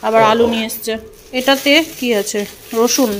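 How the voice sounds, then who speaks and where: A woman's voice: on the high side, steady tone, moderate pace, noisy background. A woman, narrating a casual family vlog and talking directly to her viewers in a conversational way. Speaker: family lifestyle vlogger.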